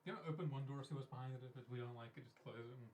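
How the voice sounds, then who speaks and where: A man's voice: medium pitch, fairly even intonation, rapid-fire, a little mellow, slight background noise. A man chatting casually while playing a tabletop game. His voice sounds distant from the microphone, in a very echoey room. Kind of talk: casual chat during a live game.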